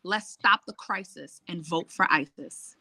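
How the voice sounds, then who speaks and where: A woman's voice: lowish voice, measured pace, sharp tone, breathy edge, dry recording, poor audio. A woman running for city council, confidently listing her record and urging people to vote. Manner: assured, persuasive, lists achievements. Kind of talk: campaign pitch on a call-in show.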